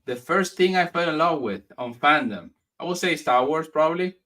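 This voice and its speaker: Friendly bright-voiced guest, mic far off. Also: male voice, fairly high pitch, lively intonation. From Spain, raised in the United States. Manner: friendly, halting with restarts. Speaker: guest comic writer. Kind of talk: casual interview chat.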